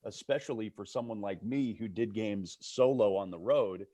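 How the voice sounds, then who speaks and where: A man's voice: fairly low pitch, normal speed, mellow, no room sound. A man who works as a baseball broadcaster, chatting casually as a guest on a video call. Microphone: video call microphone.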